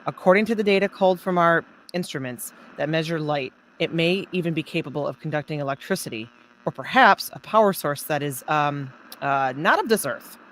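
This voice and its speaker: A woman's voice: sits low, fairly bright tone. Precise woman professor, noisy background. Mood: measured, cautious. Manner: precise, academic, deliberate. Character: learned, cautious female astronomy professor, middle-aged. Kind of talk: old-time radio drama expert interview.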